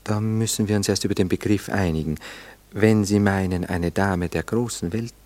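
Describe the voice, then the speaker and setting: An actor playing an idle, gallant gentleman flirt in a German radio play, teasing and languidly ironic. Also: deep voice, normal speed, natural voice. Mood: playful, ironic.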